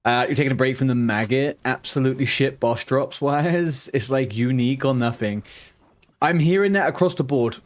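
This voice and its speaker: Chatty man with a softened London accent. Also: medium pitch, normal speed. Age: 30s. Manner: chatty and rambling, answers viewers' chat aloud. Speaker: game streamer. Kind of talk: casual live-stream chat.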